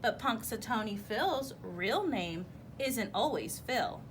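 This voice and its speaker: An eager young girl groundhog as a woman reader plays her from a children's storybook. She is stubborn and insistent, with big swoops in pitch and unhurried, drawn-out words. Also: lively intonation, a little hiss or hum.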